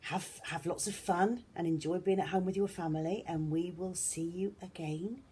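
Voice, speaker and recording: A woman's voice: fairly low pitch, steady tone, moderate pace, mellow. A woman reading a picture book to young children expressively and dramatically, often dropping toward a whisper. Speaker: children's storyteller.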